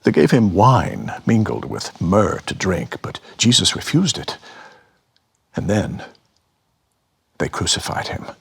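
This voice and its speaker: A man's voice: on the low side, wildly swooping pitch, slow pace, vocal fry, pauses often, husky, heavily acted. A man performing a dramatic narration of a scripture story.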